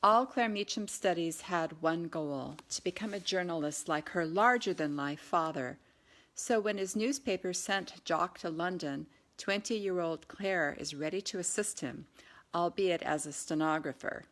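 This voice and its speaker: A woman's voice: lowish voice, unhurried, fairly bright tone. A woman reading her own novel aloud in an audiobook narration style.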